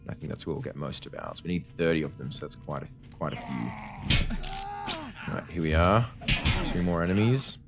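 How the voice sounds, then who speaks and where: Man, very low voice, tired from a cold, casual gameplay commentary, clear game music behind. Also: fairly quick, long pauses. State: tired voice after a cold, cutting out at times. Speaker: let's play host.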